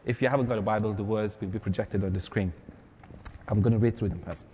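A man's voice: fairly low pitch, steady tone, fast talker, warm tone, slightly rough. A man preaching as a pastor in a conversational, informal style to a congregation. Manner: informal, quizzing the listeners. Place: large reverberant church hall. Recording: long hall reverb.

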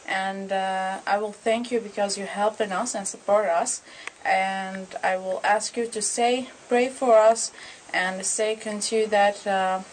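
A woman with an Iraqi accent offers a personal testimony in simple, hesitant English. Her voice is medium in pitch, recorded with audible ambient noise. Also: low-quality recording. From Iraq.

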